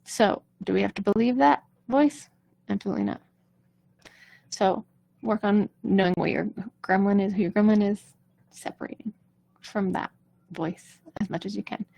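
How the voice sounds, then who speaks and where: Woman, vocal coach, casual and reassuring, dry close sound, dark tone. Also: medium pitch, normal speed, creaky, long pauses, no room sound, rough recording. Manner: casual, reassuring, talks straight to viewers. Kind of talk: live-streamed coaching chat.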